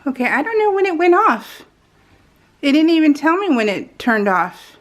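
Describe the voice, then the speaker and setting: A middle-aged American woman telling personal stories in a casual, informal, animated way, slipping into retold dialogue. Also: sits high, normal speed. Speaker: craft video host. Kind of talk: casual chatty storytelling.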